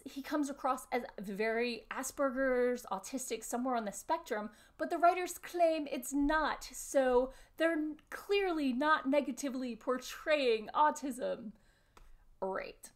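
A woman in her thirties giving an explanatory talk to camera at home, with deliberate phrasing. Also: a little high. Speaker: vlogger presenting panel notes. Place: small furnished room at home, indoors.

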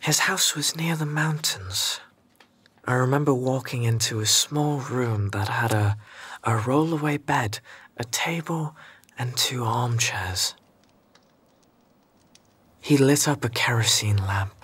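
A man as a reflective, measured storyteller. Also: medium pitch, fairly bright tone, breathy edge. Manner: literary, measured. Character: reflective male storyteller, observant and introspective. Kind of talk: radio drama first-person narration.

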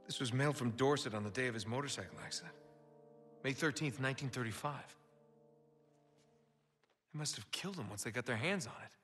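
An actor playing an eager, wisecracking treasure hunter in his thirties, explaining excitedly with sarcastic asides in a video game scene. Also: medium pitch, brisk, long pauses, soft background music, lightly acted. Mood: eager, insistent. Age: 30s. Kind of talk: video game cutscene acting, casual banter.

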